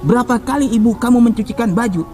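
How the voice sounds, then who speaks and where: A man's voice: extremely high pitch, fast, dark tone, soft background music, voice pushed up. A man voicing a stern, insistent noodle seller who scolds a child in a puppet story. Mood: scolding questioning.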